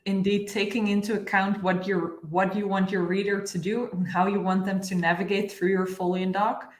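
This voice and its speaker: A woman's voice: mid-range voice, flat delivery, normal speed, reverberant. A woman in her thirties hosting a live online webinar, speaking over a video call from an office room. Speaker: webinar host. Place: bright office room with glass walls, indoors.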